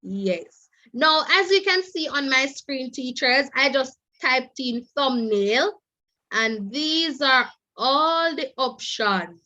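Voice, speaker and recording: A woman speaks slowly and deliberately, a little stuffy and nasal from a cold. Her voice sits high and comes across bright. The sound is dull and lo-fi, like a video call. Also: lively intonation. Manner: repetitive, coaxing, keeps addressing "teachers". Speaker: teacher trainer. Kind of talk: online teacher training seminar.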